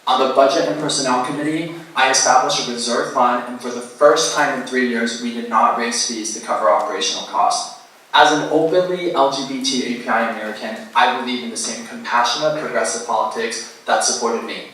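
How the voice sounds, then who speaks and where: A man, a candidate, delivering a prepared campaign statement in a steady, rehearsed way. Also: medium pitch, a little fast, a little hiss or hum. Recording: echoey hall, voice from far off.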